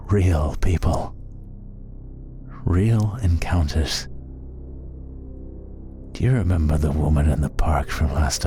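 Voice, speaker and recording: Man playing a very deep, menacing serial killer. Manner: slow, deliberate, ominous pauses. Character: menacing male serial killer streamer, coldly intense artist. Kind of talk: horror audio story acting. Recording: loud music under the voice. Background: music.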